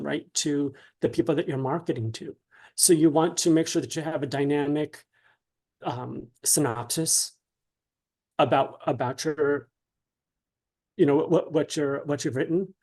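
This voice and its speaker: A man answering interview questions casually, in a hesitant, halting and polite way. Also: on the high side, steady tone, a little mellow. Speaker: interview guest, dramatist and author.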